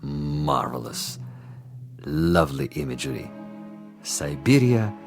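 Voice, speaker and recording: A man's voice: deep voice, sing-song, slow pace, gravelly, over music, exaggerated voice. A man playing a cultured, menacing commissar and assassin in a drama, speaking with theatrical mock courtesy and relishing his words. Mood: silky, probing. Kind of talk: dramatic TV-style dialogue acting.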